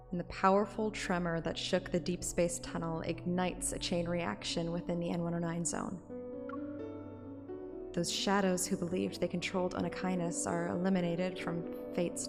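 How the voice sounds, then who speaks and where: A woman's voice: fairly low pitch, little pitch movement, moderate pace. A woman narrating a first-person fantasy story expressively, in the manner of a homemade audiobook. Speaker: audiobook-style narrator and streamer. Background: music.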